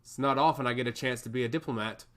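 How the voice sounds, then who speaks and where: A man's voice: mid-range voice, quick pace, a bit bright, lightly acted. A man voicing a smug, teasing god-touched emissary in a fantasy story, with a needling, theatrical manner. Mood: playful. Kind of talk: fantasy audio story character.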